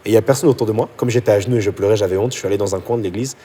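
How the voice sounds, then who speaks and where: A young man from Portugal, a pastor, telling his conversion story in a podcast chat, animated and then hushed at intimate moments. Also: breathy edge. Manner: fast, animated storytelling, drops low and soft at intimate moments. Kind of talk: personal testimony in a podcast chat.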